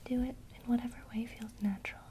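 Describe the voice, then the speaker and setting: A woman teaching witchcraft basics in a soft, encouraging ASMR whisper. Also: fairly high pitch, steady tone, fast, a little hiss or hum.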